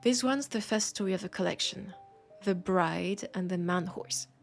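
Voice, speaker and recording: Slow, whispery Frenchwoman acted as tender bookseller. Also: medium pitch, gravelly. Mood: warm, playful. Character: romantic, teasing female bookseller fond of a vampire customer. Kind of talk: soft intimate roleplay audio with poetic reading. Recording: music behind the voice. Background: music.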